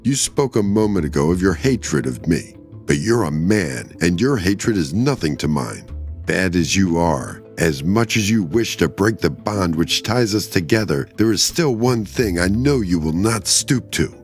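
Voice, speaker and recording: A man's voice: deep voice, big pitch swings, unhurried, faint music behind, heavily acted. A man voicing a bitter, vengeful wife driven mad by hatred in a dramatic story reading, hard and quavering. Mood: mad, hateful.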